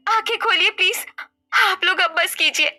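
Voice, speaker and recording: A woman's voice: extremely high pitch, normal speed, bright, forceful tone, exaggerated voice. A woman playing a devoted, anxious wife who speaks pleadingly and respectfully in a family story. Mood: panicked. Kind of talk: Hindi moral family story dialogue.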